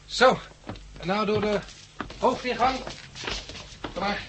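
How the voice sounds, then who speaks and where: A man's voice: high voice, takes it slowly. An actor playing a weary, practical captive man in a 1960s radio drama, speaking dryly. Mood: brisk, guiding. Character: weary captive sighted man guiding the blind. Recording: noisy old radio recording.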